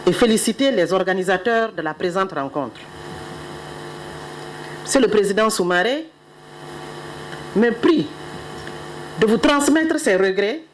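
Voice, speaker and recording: A middle-aged woman speaking on behalf of an official, giving a solemn, measured ceremonial address. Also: medium pitch, a little hiss or hum, rough recording. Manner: measured, solemn, stately delivery.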